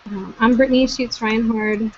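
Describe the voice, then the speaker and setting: A woman's voice: a little high, steady tone, normal speed, slight background noise. A woman coordinator hosting an online group meeting, talking casually with many ums and uhs. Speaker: network coordinator, meeting host. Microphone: video call. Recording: dull lo-fi video call audio.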